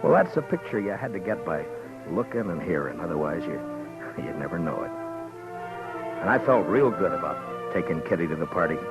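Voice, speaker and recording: An actor playing a calm, reflective frontier marshal who narrates in a slow, laconic, measured way, in a 1950s radio drama. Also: male voice, deep voice. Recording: dull old radio recording with music under narration.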